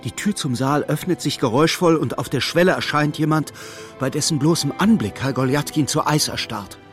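An actor narrating a dark literary radio play as a solemn, measured storyteller. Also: male voice, on the high side, soft background music, close to an everyday voice.

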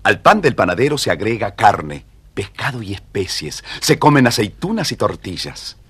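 A man narrating a scripted children's story in a measured, dramatic manner. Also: lowish voice, very animated, a bit bright, slightly rough, no room sound.

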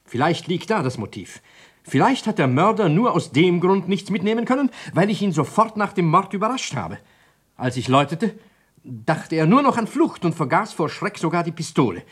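An actor playing an agitated, defensive doctor in a radio play, pleading and halting as a police suspect. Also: male voice, sits high, sing-song, a bit bright, husky, exaggerated voice. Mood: agitated, indignant. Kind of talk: German radio play acting.

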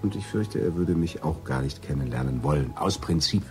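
An actor playing a polite, measured suitor in a radio crime drama. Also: male voice, extremely low pitch, faint music behind. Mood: musing. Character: smooth, courteous male suitor from Cologne.

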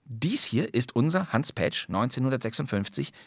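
A man's voice: mid-range voice, very animated, moderate pace, no echo. A man hosting scripted, wry presentations of old radio crime plays in a playful, theatrical storytelling way. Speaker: podcast host.

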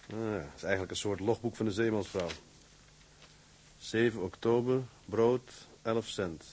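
An actor playing a hearty, affectionate young ship's mate in a radio play. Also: male voice, lowish voice, slight background noise, lightly acted. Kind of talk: dramatised radio play acting.

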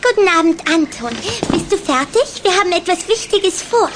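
A woman's voice: very high-pitched, expressive, moderate pace, noisy background. A woman playing a confident, persuasive young vampire girl with a chirpy, insistent manner in a German children's radio drama. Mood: eager, persuasive. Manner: chirpy, insistent, self-assured.

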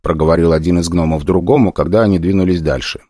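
A man narrating a fantasy audiobook in an expressive, ironic storytelling manner. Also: deep voice, fast talker, no room sound, clean studio sound.

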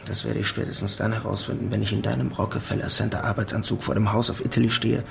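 An actor playing a cocky would-be art thief in a German radio crime drama, with a creaky voice and dry, sarcastic delivery. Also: male voice, fairly low pitch, little pitch movement, quick pace, a little hiss or hum. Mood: irritated, confident.

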